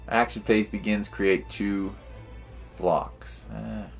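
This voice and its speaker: A man's voice: fairly low pitch, steady tone, slow pace, creaky. A man giving casual gameplay commentary to livestream viewers, slangy and musing aloud to himself, with game music playing behind him. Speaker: game streamer.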